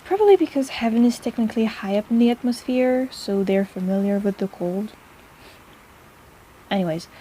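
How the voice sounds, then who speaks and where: A woman's voice: medium pitch, a little hiss or hum, lightly acted, low-quality recording. A woman playing a caring, teasing, easily flustered apothecary shopkeeper who rambles in an intimate audio roleplay addressed to the listener. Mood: musing, explaining. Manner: chatty and rambling, halting, gently teasing.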